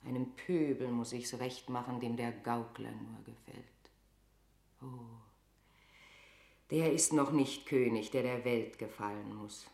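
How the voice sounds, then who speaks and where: An actress playing a proud, tormented, imperious queen of England in a classical verse radio drama, speaking in a declamatory, measured way. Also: a bass voice, steady tone, creaky, warm tone, full character voice. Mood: bitter brooding. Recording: roomy studio radio play sound.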